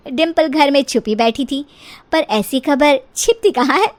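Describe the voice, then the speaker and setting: A woman narrator reading a scripted comic story for an animated video. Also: high-pitched, measured pace.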